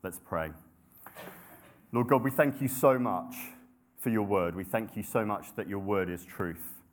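A man's voice: lowish voice, a little slow, a little hiss or hum. A young adult man giving a sermon in a conversational, self-deprecating way, with frequent ums and restarts. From grew up in Nepal and the UK. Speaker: preacher.

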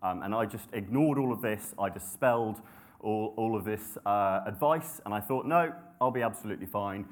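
A man's voice: on the low side, normal speed. A young adult man giving a sermon in a conversational, self-deprecating way, with frequent ums and restarts. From grew up in Nepal and the UK. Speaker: preacher.

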